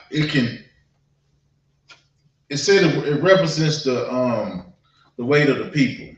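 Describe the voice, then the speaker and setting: A man's voice: mid-range voice, animated. Echoey, distant man preaching. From United States. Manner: halting, repetitive, conversational with frequent check-ins. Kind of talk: informal sermon, public address. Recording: dull, echoey room, distant mic.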